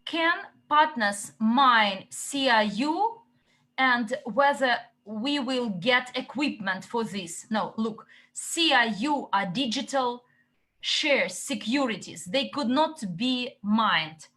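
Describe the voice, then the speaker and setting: A woman interpreting a company update into English, relaying questions and answers in short, halting chunks. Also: fairly high pitch, expressive, sharp tone.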